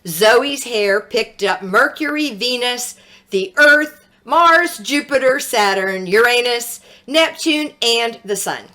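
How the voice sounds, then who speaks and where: A woman reads a picture book aloud in a fairly high, lively voice that swings widely in pitch. She takes her time, very slowly, and delivers the story with theatrical expression. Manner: slow, dramatic, animated storytelling with sound words. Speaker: children's story reader.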